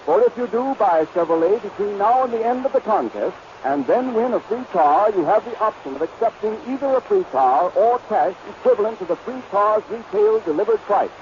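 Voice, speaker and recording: A man's voice: high-pitched, expressive, brisk, few pauses, a little mellow. A man announcing a scripted 1930s radio advertisement in a formal, emphatic sales delivery. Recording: muffled, hissy 1930s radio recording.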